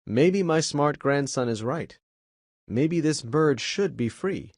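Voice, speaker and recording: Proud old grandfather read by a man, slow and dignified, storybook, dry room. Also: on the high side, animated, darkish tone, dry recording, exaggerated voice. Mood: resolute.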